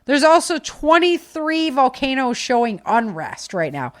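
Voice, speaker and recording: A woman's voice: high voice, very animated, a little slow, no echo, studio quality. An American woman hosting a podcast, talking casually and off the cuff in an emphatic, conversational way. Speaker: podcast host. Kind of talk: casual unscripted podcast commentary.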